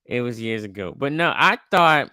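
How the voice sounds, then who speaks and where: Young guy hosting a podcast, loose slangy rambling, smooth clear voice, dry sound. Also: medium pitch, expressive, normal speed, sharp tone, no echo. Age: 20s. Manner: laid-back, slangy, rambling with restarts. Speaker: podcast host. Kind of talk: casual interview chat.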